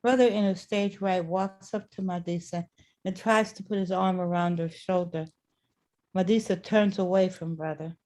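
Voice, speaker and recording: A woman's voice: lowish voice, steady tone, moderate pace, a little mellow. A woman reading scripted stage directions as the narrator of a play.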